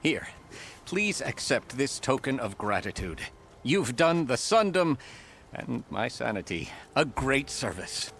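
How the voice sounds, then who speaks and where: An actor playing a stern, weary town magistrate in a video game, formal, measured and dryly amused. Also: male voice, mid-range voice, sing-song, vocal fry, a bit bright, slight background noise, heavily acted. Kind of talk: video game cutscene acting.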